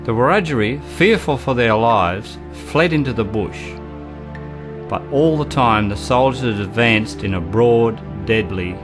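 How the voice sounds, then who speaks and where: A man narrating a scripted historical radio drama in a grave, measured manner. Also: medium pitch.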